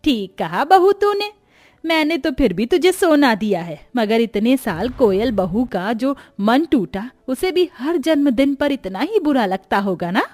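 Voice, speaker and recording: Woman acting a firm mother-in-law, high-voiced. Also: sing-song, measured pace, darkish tone, faint music behind, full character voice. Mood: reproving. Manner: authoritative, admonishing. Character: firm, fair-minded middle-aged mother-in-law.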